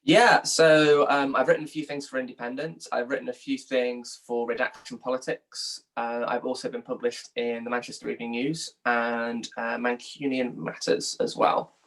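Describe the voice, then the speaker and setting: A young man from England with a mid-pitched voice speaks as an interviewed journalist. He answers informally and thoughtfully, feeling his way through each point with plenty of hedging. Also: level tone, normal speed, hardly any pauses, a bit bright, poor audio. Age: young adult.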